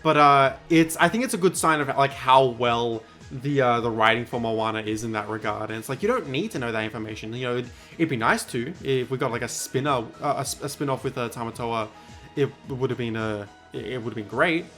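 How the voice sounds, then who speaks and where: Male host, hoarse and worn-out voice, halting casual delivery. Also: medium pitch, fairly bright tone, soft background music. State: hoarse, losing his voice. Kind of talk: casual podcast chat.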